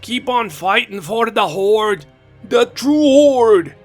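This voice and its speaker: A man's voice: sits high, expressive, slow, soft background music, pitched above the performer's own voice. A man voicing a loyal, wounded troll shaman, strained and earnest, in a fantasy story. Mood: dying, defiant.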